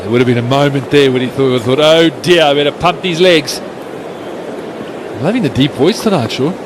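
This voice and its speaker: A man giving live cricket commentary, rising to excited shouts on close chances. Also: medium pitch, moderate pace. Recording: noisy stadium broadcast.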